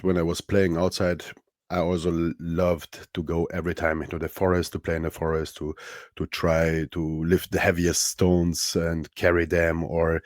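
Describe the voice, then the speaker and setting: A very deep-voiced man in his thirties with a Romanian accent talks casually as a podcast guest. He speaks in a halting, thoughtful way, pausing on fillers and restarting his phrases. The sound is dry and close. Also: a little mellow, no echo. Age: 30s. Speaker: podcast guest, strongman athlete. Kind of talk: casual interview chat.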